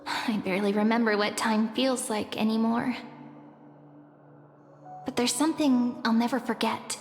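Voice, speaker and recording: A woman voicing a gentle, mysterious young woman in a video game, earnest and persuasive. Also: on the high side, fairly even intonation, normal speed, lightly acted. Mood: earnest warning. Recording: echoey long reverb, music underneath.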